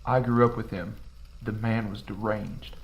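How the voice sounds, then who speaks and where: A man acting a sardonic, gravelly, greedy uncle. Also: lowish voice, little pitch movement, measured pace, dull audio. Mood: scornful. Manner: sardonic, dry. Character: greedy, cynical, sarcastic uncle, impatient and irreverent. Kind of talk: audio drama acting. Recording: music behind the voice.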